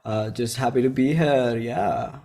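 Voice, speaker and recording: Indian male, twenties, mid-pitched. Musician in a loose interview chat, slangy and rambling. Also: measured pace, mellow. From Bhopal, India. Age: 20s. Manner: informal, slangy, rambling with frequent "like". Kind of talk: casual chat.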